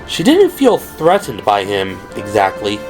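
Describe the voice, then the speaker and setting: A man's voice: lowish voice, wildly swooping pitch, unhurried, low-quality recording. A man narrating an audio drama from a script in a dramatic, storytelling style. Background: music.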